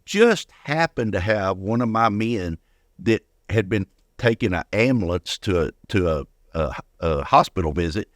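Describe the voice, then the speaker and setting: A man's voice: fairly low pitch, lively intonation, measured pace, no echo, studio quality. An older man speaking as an interview guest, telling personal stories casually and often repeating words as he goes. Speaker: guest, retired firefighter.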